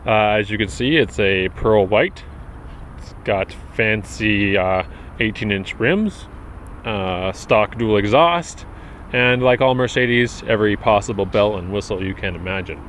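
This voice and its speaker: Slow, hesitant man showing off a car. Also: on the low side, fairly bright tone, a little hiss or hum. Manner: hesitant, with frequent "uh" pauses. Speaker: car importer vlogger. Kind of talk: casual car walkaround.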